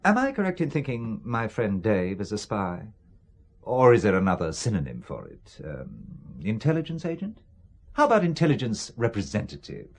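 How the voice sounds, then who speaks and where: Wary, sardonic British businessman, animated delivery. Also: fairly low pitch, moderate pace, full character voice. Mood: sardonic, suspicious. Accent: British English. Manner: dry, sceptical, questioning. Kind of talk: audio drama acting.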